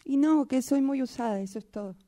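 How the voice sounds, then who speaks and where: Woman playing a worn-out female sock, higher-pitched, soft mellow tone, halting repetitive grumbles, improvised radio play. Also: natural voice. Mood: resigned, grumbling. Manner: halting, repetitive, plaintive asides. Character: weary, overused female sock complaining about its owner. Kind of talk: improvised radio-theatre monologue.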